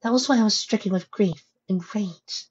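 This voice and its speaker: A woman voicing a gentle, sorrowful young female pony in a storybook reading, speaking softly and earnestly. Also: mid-range voice, breathy edge, dull audio, voice pushed up, lightly acted. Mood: regretful. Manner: soft, earnest, measured.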